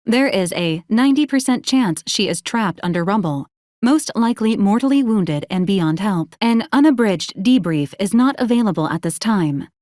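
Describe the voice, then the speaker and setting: A woman voicing a polite, literal female robot assistant in a video game, speaking in formal, clipped, deadpan announcements. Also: mid-range voice, dry recording, studio quality, lightly acted. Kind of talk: scripted video game character lines.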